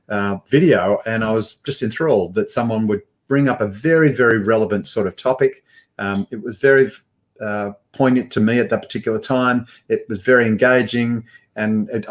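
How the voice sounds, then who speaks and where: An older man hosting a live video call interview from an indoor studio, talking in an upbeat presenter manner with frequent fillers. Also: lowish voice. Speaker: host. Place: indoor studio with banner backdrop. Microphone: headphones with mic, webcam framing.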